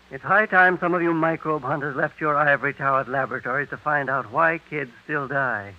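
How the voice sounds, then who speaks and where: A man's medium-pitched voice comes through a dull vintage radio recording. He is an earnest, indignant medical researcher, played by an actor, delivering his lines emphatically in the declamatory style of 1930s drama. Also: natural voice.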